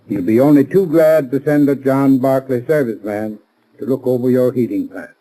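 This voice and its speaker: A man's voice: medium pitch, warm tone, poor audio. An American man reading a scripted 1930s radio advertisement as a spokesman, formal and instructive. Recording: vintage 1930s radio recording.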